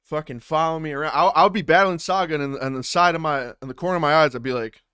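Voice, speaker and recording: A man talking loosely to his live chat, restarting sentences and tossing in "man" and "bro" as he goes. His voice sits a touch higher than most men's. It comes through a close studio mic at home, dry with no room sound. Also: quick pace, a bit bright, clean tone, no echo. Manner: loose, rambling, restarts often, says "man" and "bro" a lot. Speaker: streamer and battle rap commentator. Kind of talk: casual livestream chat. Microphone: studio dynamic mic through audio interface.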